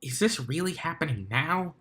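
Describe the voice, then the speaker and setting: A man's voice: fairly high pitch, animated, normal speed, a bit bright, pitched above the performer's own voice. Man putting on an exasperated, complaining female unicorn.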